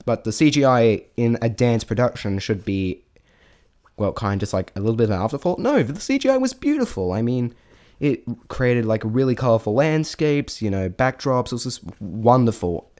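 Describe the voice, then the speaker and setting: A man's voice: mid-range voice, very animated, normal speed, a little mellow. A man giving an unscripted spoken review in a rambling, hesitant way, often stammering and breaking off mid-thought. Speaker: amateur reviewer sharing opinions.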